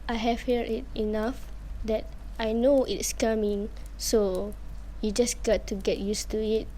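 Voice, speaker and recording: Girl playing sad tired daughter in a homemade radio play, dark mellow tone, takes her time, hesitant. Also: a little high, little pitch movement, measured pace, a little hiss or hum, natural voice, rough recording. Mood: weary, resigned. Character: weary, hurt daughter belittled by her parents. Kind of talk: amateur radio drama acting.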